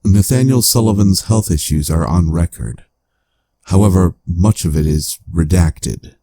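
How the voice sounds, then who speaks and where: A man playing a calm, analytical AI companion in a cyberpunk audio drama, speaking in a measured, formal, clinical way. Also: sits low, no room sound, clean studio sound, full character voice. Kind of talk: scripted audio drama narration.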